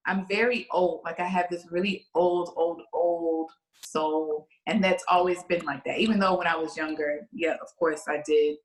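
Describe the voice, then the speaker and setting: A woman in her late thirties, chatting casually as a podcast guest, telling stories in an expressive, animated way. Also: on the low side, moderate pace, hardly any pauses, roomy sound, rough recording. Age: 30s. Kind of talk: casual chat.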